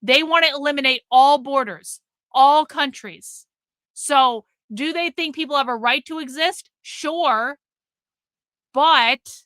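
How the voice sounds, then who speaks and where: A woman's voice: high-pitched, pushed and bright, dry recording. A woman in her forties hosting a livestream, reading viewer super chats and giving blunt, profane commentary. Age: 40s. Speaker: livestream host.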